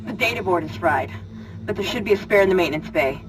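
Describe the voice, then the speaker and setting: A woman's voice: lowish voice, animated, quick pace, close to an everyday voice. An actress playing an outspoken female technician in a sci-fi horror game, anxious, blunt and urgent. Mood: tense, frustrated. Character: tense, outspoken female technician on a doomed spaceship. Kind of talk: video game dramatic acting. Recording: distant voice in noisy game ambience.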